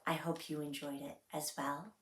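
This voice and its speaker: A woman hosting a children's story time, reading aloud with deliberate, expressive phrasing, a little away from the microphone. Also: deep voice, echoey room, far from the mic.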